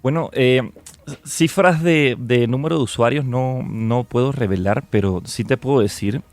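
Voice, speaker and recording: A man in his thirties, a company director, answering questions in a radio studio interview in a measured, businesslike way. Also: medium pitch, a little mellow, no room sound. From Colombia. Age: 30s. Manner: measured, businesslike explanations with small restarts. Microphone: studio microphone.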